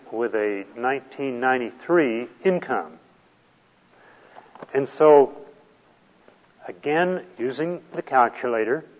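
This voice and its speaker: A man's voice: medium pitch, in a large hall, muffled recording. A man teaching a retirement planning class, explaining step by step in a patient, plain way. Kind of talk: classroom lecture.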